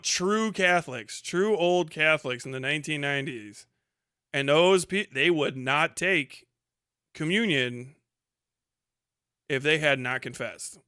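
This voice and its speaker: A man's voice: medium pitch, pushed and bright, clean tone, no room sound, studio quality. A man delivering a casual, ranting livestream monologue in a colloquial, rambling, sweary way. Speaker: livestream host.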